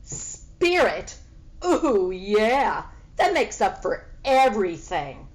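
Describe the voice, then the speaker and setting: A haughty, sarcastic she-cat from a children's story, acted out by a woman reader. She is boastful and sassy, with a very swooping, animated delivery that savours its own importance. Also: medium pitch, extremely slow. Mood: scornful, mocking. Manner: sassy, grandly refers to herself in third person.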